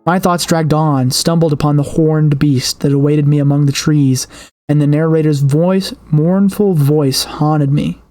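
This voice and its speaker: Woman, somewhat low pitch, expressive storyteller, horror game read-aloud, gaming host, music behind. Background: game soundtrack music.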